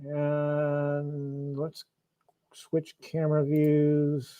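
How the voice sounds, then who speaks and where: A man's voice: on the high side, monotone, very slow, mellow, smooth, no echo. A middle-aged man hosting a casual livestream from his home arcade room, rambling with many restarts. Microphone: lavalier mic.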